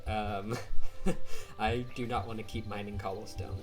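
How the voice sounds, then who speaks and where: A man, sick and raspy-voiced, casually commenting on a game as he plays, rambling with many ums and likes. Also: fairly low pitch, steady tone, measured pace. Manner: rambling, hesitant, lots of um and like. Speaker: gaming YouTuber. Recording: quiet voice under loud game music. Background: loud game music.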